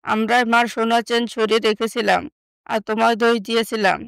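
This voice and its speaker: A woman's voice: a little high, steady tone, brisk, vocal fry, a bit bright, smooth, dry recording, studio quality. A woman playing a contrite young stepsister, once scheming, in a moral story. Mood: begging forgiveness. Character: scheming young stepsister, now poor and remorseful.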